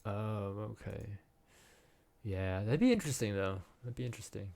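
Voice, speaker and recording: A man co-hosting a podcast, chatting casually and often pausing with ums as he searches for words. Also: on the low side, expressive, vocal fry, a crowd in the background. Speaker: podcast co-host. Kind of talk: casual chat.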